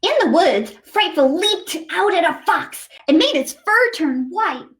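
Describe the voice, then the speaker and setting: A woman's voice: very high-pitched, expressive, measured pace, fairly bright tone. A woman reading a picture book aloud to children expressively, with chatty asides to young listeners. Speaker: storytime host reading to children.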